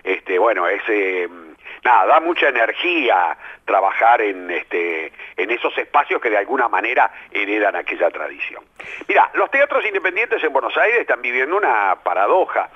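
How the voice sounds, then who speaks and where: Animated older Rioplatense man, lo-fi radio. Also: lowish voice, normal speed, husky. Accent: Rioplatense Spanish. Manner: reflective, lively, filler-heavy. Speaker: playwright and theatre director. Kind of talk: radio interview guest. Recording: lo-fi radio broadcast.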